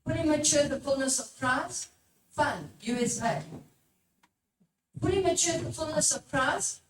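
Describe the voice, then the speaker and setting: A woman presenting a workshop talk to a live audience, urging listeners on and putting questions to them. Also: sits high. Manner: exhortatory, puts questions to the listeners. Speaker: workshop presenter, religious formator. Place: echoey room at a live workshop. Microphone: picked up from a distance. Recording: echoey room, distant mic, background noise.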